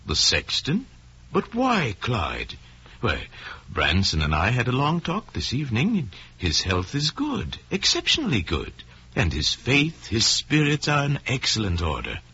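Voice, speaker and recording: A man playing a kindly, fatherly village priest who speaks gently and earnestly in an old-time radio drama. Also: medium pitch, huge pitch swings, unhurried, breathy edge, lo-fi sound, pitched above the performer's own voice, heavily acted. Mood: warm, reassuring.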